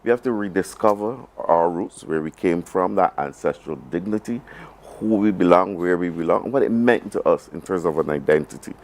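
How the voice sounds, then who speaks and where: Male interview guest, Barbadian accent, very low pitch, halting delivery with emphatic repetition. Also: darkish tone. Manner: halting, repeats phrases emphatically. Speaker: government program advisor, interview guest. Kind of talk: live broadcast interview.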